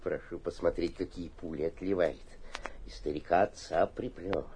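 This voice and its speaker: A husky, fawning, cunning town mayor. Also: male voice, low voice, normal speed. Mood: suspicious muttering. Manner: fawning flattery with muttered suspicious asides. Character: obsequious, cunning provincial town mayor, privately scornful. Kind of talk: theatrical radio drama acting.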